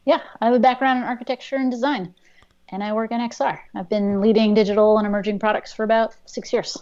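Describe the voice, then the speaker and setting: Friendly woman guest, fairly high voice, slightly muffled sound. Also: steady tone, quick pace, a little mellow. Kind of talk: casual interview chat.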